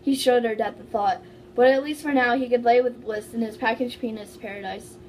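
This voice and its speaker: A woman's voice: on the high side, fairly even intonation, a little fast, a little mellow. A woman doing a playful dramatic amateur reading, mixed with casual chat. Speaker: fan fiction reader. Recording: faintly noisy home recording.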